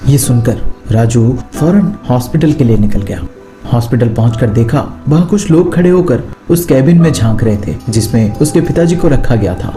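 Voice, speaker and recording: A man's voice: mid-range voice, lively intonation, moderate pace, warm tone. Male horror storyteller over music. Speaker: storyteller, narrator. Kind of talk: scripted horror story narration. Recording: music behind the voice. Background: background music.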